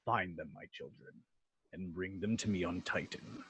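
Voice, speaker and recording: A man playing a cold, cruel alien tyrant in an amateur table read, with a husky, unhurried delivery. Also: deep voice, a bit bright, heavily acted. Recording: dull, muffled home audio.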